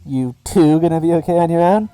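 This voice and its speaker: A man's voice: on the high side, a little fast, mellow, clean tone, no room sound, voice pushed up, close to an everyday voice. A man voicing a nervous, goofy male hooded minion who stammers. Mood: jittery.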